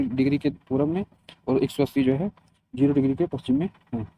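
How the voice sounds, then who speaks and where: A man's voice: on the high side, warm tone, a little hiss or hum. A man teaching a geography lesson, reading from notes and adding short instructional explanations. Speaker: geography teacher for competitive exam students. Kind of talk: scripted lesson read aloud with short explanations. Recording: dull, lo-fi, muffled sound.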